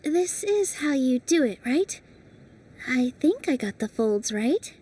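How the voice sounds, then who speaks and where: A gentle curious young dancer. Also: female voice, high voice, very animated, takes it slowly, husky, recorded from a distance, exaggerated voice. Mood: thoughtful. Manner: soft, thoughtful, playful. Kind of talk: video game voice acting. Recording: voice set back from mic, faint game music.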